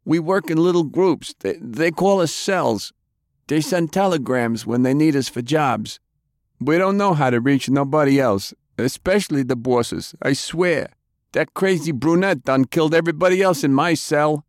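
A man voicing a defiant but frightened captured thug with rough, uneducated American speech. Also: a little high, animated, normal speed, no echo, clean studio sound, pitched above the performer's own voice, natural voice. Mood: pained, frightened.

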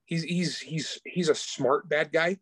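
A man's voice: fairly high pitch, slow, fairly bright tone, husky, dry recording. A man speaking as a guest author in a casual interview, informal and slangy, often restarting his sentences. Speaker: guest author discussing own book.

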